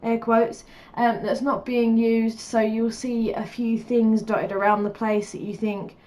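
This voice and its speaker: A woman's voice: fairly high pitch, little pitch movement, measured pace, a little mellow, low-quality recording. A woman with a stuffy cold, chatting through a game build in a casual, rambling voice-over with many fillers. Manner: rambling and chatty, lots of fillers, laughs often. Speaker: gaming YouTuber.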